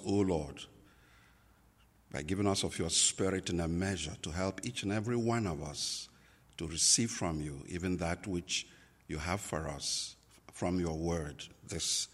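A man from Nigeria, a native Igbo speaker with a Nigerian accent, preaching a sermon as a pastor, slow and deliberate with many pauses and fillers. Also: sits low, fairly bright tone, long reverb tail.

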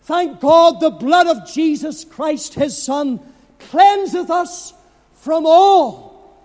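Male preacher, slow and emphatic, hushed-to-thundering evangelistic delivery, long echo of a big hall. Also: very high voice. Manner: solemn, emphatic, repeats phrases for effect; swings from hushed to loud, impassioned delivery. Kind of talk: fervent evangelistic sermon.

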